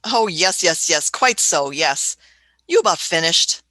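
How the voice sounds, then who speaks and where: Woman acting as a fussy old adventurer, very animated. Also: fairly low pitch, measured pace, no echo. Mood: grudging. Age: older. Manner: dithering, repeats words, punctuates with questioning hums. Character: elderly, fussy, musing female scientist-adventurer, gently scolding. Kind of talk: radio play acting.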